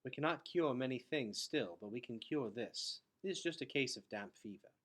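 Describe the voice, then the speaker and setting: A man reading the lines of a gentle, composed woman in a storybook, softly and formally. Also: mid-range voice, expressive, normal speed. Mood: soothing. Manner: soft, soothing, formal. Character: gentle, composed adult woman of underground kingdom.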